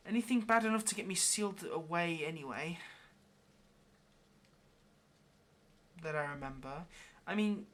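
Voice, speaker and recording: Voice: mid-range voice, a little fast, a bit bright, close to an everyday voice. A performer playing a lonely, pleading, affectionate caged demon in an intimate ASMR roleplay, speaking in a hesitant, coaxing way. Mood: pleading, evasive. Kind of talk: ASMR roleplay, intimate one-sided conversation.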